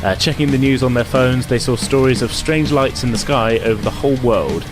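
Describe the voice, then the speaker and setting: A man in the host's chair, running the game and recapping in an upbeat, filler-heavy way. His pitch sits in an ordinary middle range. A clear music bed plays under him. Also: a little fast. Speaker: host and game master. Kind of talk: podcast intro and recap.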